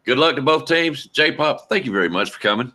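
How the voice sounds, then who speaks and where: A man hosting a casual sports talk show, relaxed and friendly, rambling a little as he wraps up. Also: mid-range voice, fairly quick. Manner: relaxed, friendly, laughs easily, rambling sign-off. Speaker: show host.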